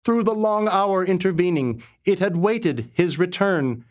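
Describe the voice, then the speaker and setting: A man reciting narrative poetry in a measured, dramatic style, with solemn, reverent phrasing and deliberate pauses. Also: high voice. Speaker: poetry reader.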